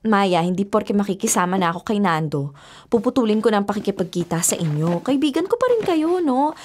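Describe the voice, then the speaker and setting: An actress with a Filipino accent playing a carefree, stubborn young waitress in a radio drama, breezy and expressive. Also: female voice, mid-range voice, a little fast, a little hiss or hum, natural voice. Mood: breezy, chummy. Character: carefree, stubborn young pregnant waitress who smokes and gambles. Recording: faint music bed behind dialogue.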